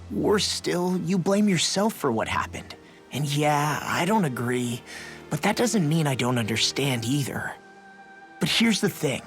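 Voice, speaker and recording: A man voicing a brash, loyal young comrade in an English video game dub, talking bluntly and informally. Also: fairly high pitch.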